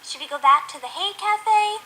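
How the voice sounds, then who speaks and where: Very high, cute bubbly pony. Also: female voice, animated, normal speed, sharp tone, slight background noise, heavily acted. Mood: cheerful. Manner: gushing, earnest, eager to please. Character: sweet, cute, bubbly, timid young female pony. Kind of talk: cartoon audio drama acting. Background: music.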